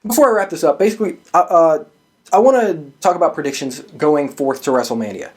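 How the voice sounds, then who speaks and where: A man with a voice pitched a little above average, talking like an opinionated fan hosting his own show. He speaks casually and swears freely as he lays out his views. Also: quick pace, darkish tone. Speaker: host of a wrestling predictions show.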